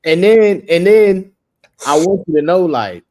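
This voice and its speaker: Lively higher-voiced man, insistent. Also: measured pace. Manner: joking, insistent, repeats himself. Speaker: podcast co-host. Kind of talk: casual sports chat.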